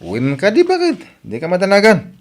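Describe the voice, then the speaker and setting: Animated, evasive Ilocano husband, acted by a man. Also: high voice, a little fast. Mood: defensive, evasive. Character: jobless, evasive village husband, comically irritable. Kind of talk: Ilocano comedy radio drama acting.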